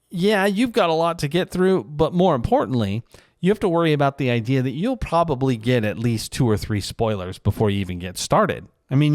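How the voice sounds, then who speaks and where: A man's voice: mid-range voice, no echo, studio quality. A man talking casually and reflectively on his own, in a conversational, rambling, self-deprecating way, like a vlogger. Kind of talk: casual reflective solo commentary.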